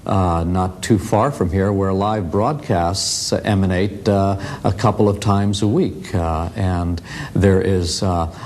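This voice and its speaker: An American man explaining things in an interview as a museum representative, emphatic and halting, repeating phrases for weight. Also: fairly even intonation, a little mellow. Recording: faint rumbling background noise.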